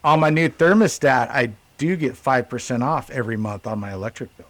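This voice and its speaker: A man's voice: medium pitch, lively intonation, fairly quick, no echo. A man co-hosting a casual podcast, rambling with many restarts and fillers. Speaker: podcast co-host.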